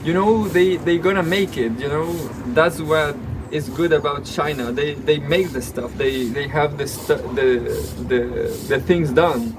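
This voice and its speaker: Man, fairly high voice, halting, repeats himself, sarcastic asides, noisy background hum. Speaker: news commentator. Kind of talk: reading news with off-the-cuff commentary.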